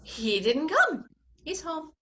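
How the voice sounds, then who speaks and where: A woman's voice: high-pitched, very animated, slow pace, sharp tone, full character voice. A woman playing a tipsy, playful society bride-to-be in a radio play, coy and languid.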